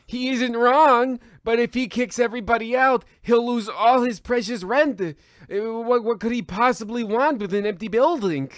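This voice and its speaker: A fretful ancient tenement dweller played by a man in a radio-play spoof. His voice is high and recorded dry, with flustered, indignant, mock-melodramatic delivery. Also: normal speed, no echo. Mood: dismayed. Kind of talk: parody of old-time radio acting.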